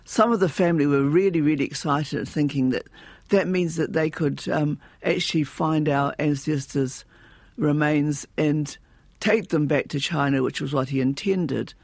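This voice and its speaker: A man, chairman of a project group, slowly recalling events in an interview with pauses. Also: fairly high pitch, gravelly, no room sound. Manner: slow, pausing recollection. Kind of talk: interview recollection.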